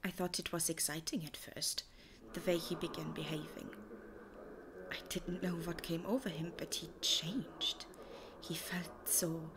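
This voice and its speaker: Casting: anxious, wounded wife for audio drama; lowish soft voice, slow halting delivery, background ambience. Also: female voice, noisy background. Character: hurt, anxious wife of a missing man, soft-spoken. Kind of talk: audio roleplay acting.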